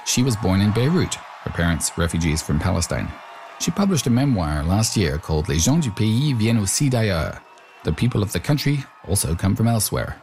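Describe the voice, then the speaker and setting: A man reporting and narrating a radio news feature in a measured, explanatory way. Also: fairly low pitch, big pitch swings, darkish tone, slight background noise.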